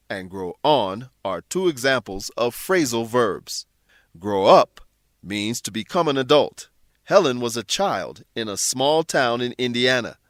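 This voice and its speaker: A man's voice: medium pitch, a bit bright, dry recording, studio quality. A man hosting an English lesson, reading scripted explanations in a didactic way with stressed key words. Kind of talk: scripted instructional narration.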